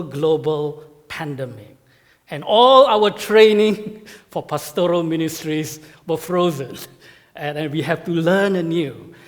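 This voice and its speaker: A man giving a conference talk to pastors as a guest speaker, with a measured, halting delivery full of fillers and repeated words. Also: fairly high pitch. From Malaysia. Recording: reverberant hall sound.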